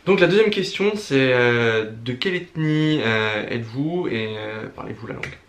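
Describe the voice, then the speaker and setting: A young man from Brittany who talks hesitantly with many pauses while co-hosting a casual vlog. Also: medium pitch, lively intonation, fairly bright tone, roomy sound. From Brittany, France. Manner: hesitant, many fillers, understated. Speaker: vlog co-host. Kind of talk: casual couple vlog chat.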